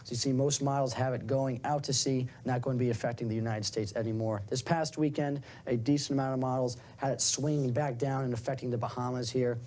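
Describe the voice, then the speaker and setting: A man's voice: medium pitch, fairly even intonation, fairly quick, hardly any pauses, darkish tone. A young man delivering a broadcast weather forecast in an upbeat presenter style, walking viewers through the map. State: slightly hoarse.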